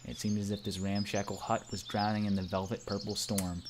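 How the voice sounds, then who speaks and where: A man's voice: on the low side, flat delivery, brisk, background noise. A man reading a visual novel aloud as narrator and player, in a casual, playful, theatrical way.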